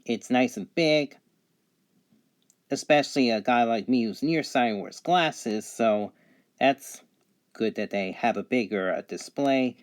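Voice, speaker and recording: Male product reviewer, medium pitch, unscripted and matter-of-fact, listing features haltingly one by one.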